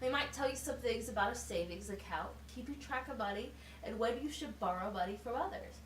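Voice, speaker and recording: Lively high-voiced female teacher, acted by a woman. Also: brisk, natural voice. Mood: encouraging, instructive. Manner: instructional, simple step-by-step explaining with questions. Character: patient, encouraging female special education teacher at wizard school. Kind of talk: amateur puppet show acting. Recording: echoey, distant mic with faint noise.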